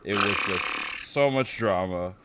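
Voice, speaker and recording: Deep, tired, raspy male co-host. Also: measured pace, very noisy. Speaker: podcast co-host. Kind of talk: casual live podcast chat.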